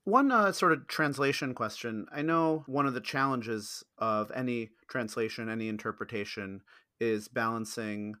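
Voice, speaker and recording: A man co-hosting a podcast, asking a guest thoughtful questions in a casual, measured way. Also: medium pitch, pushed and bright. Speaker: podcast co-host.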